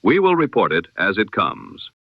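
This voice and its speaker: Solemn male newsreader, animated low pitch. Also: dry recording, dull audio. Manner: formal, measured, solemn delivery. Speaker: news announcer of an audio history broadcast. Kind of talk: scripted 1920s radio news bulletin.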